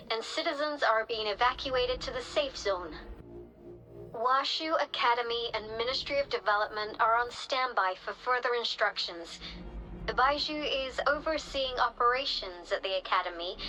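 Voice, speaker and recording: Bright, high-voiced earnest young aide. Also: female voice, rough recording. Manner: earnest, formal reporting. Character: earnest, dutiful young female city aide, reassuring. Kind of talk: dramatic video game voice acting.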